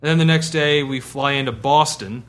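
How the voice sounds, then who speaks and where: A man co-hosting a podcast, telling a story casually in a halting way, circling back over his words. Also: mid-range voice, a bit bright. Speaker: podcast co-host.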